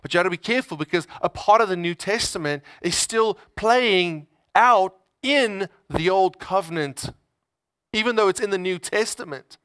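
A man's voice: sits high, moderate pace, fairly bright tone, clear, resonant tone, no room sound. A man preaching a conversational sermon, emphatic, repeating phrases and voicing people's inner thoughts in everyday words.

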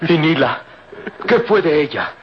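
A man's voice: fairly high pitch, animated, measured pace. An actor playing an anguished, insistent young Egyptian man in an old radio drama, repeating his words with emphasis. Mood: anxious insistence. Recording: muffled, noisy old radio drama recording.

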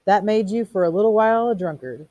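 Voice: mid-range voice, lively intonation, quick pace, mellow, no echo, voice pushed up, close to an everyday voice. A reader voicing a mocking, erudite male fire captain who declaims quotations theatrically in a storybook reading. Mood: taunting. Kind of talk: audiobook character reading.

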